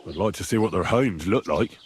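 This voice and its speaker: A man's voice: deep voice, expressive, fairly quick. A man playing a down-on-his-luck young medieval squire in a video game, curious and dryly sardonic, with an informal, questioning manner. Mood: curious, cautious. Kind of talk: video game dialogue acting. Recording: background music under the dialogue.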